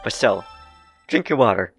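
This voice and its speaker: A man's voice: lowish voice, animated, moderate pace, slightly rough. A young man casually commenting on his gameplay, rambling and correcting himself, with a slightly phlegmy voice. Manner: rambling, self-correcting, laughs often. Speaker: let's play gamer. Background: faint game music.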